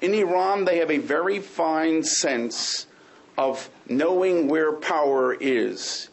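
A man's voice: fairly high pitch, takes it slowly, rough recording. A man speaking as a panel guest in a heated debate, insistent and pushing to be heard.

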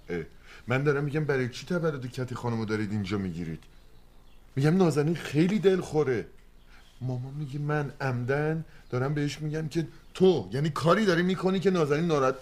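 An actor playing a young psychologist, often exasperated and pleading with people. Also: male voice, mid-range voice, lively intonation, darkish tone, lightly acted. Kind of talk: sitcom acting.

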